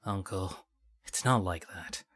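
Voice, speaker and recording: A brooding young ninja hero from an anime audio drama acted by a man. He sounds determined and serious in a lowish voice, recorded close and dry. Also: takes it slowly, a bit bright, no room sound, full character voice. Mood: brooding, resolute.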